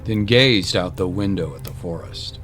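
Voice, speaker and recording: A man's voice: low voice, moderate pace, a bit bright, soft background music. A man narrating a scripted dramatic historical story as its narrator.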